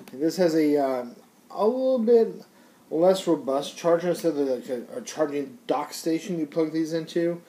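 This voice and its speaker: A man's voice: on the high side, warm tone. A man giving a casual gear review in an informal, rambling, matter-of-fact way.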